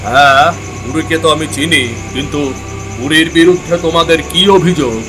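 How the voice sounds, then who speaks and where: A man's voice: on the high side, brisk, lightly acted, rough recording. A man voicing a stern, authoritative village headman who hands down judgments in a cartoon. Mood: questioning. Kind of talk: cartoon voice acting. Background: background music.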